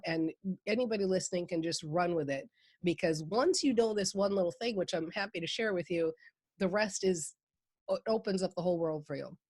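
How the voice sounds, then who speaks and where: A woman's voice: medium pitch, darkish tone, dry recording. A woman from Minnesota with a Minnesota accent, a guest on a podcast interview, talking with enthusiasm and laughing often. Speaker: guest, mindset coach.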